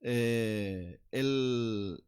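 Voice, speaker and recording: A man hosting a talk show, often murmuring soft agreement while others speak. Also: mid-range voice, drawn-out words, clean tone. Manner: frequent soft backchannels. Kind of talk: casual talk show conversation.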